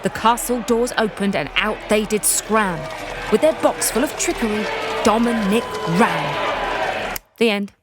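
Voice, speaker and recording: A woman's voice: mid-range voice, expressive, measured pace, over music, close to an everyday voice. A woman playing a cheeky, reluctant storyteller, narrating a satirical unfairytale with wry, informal asides. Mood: storytelling.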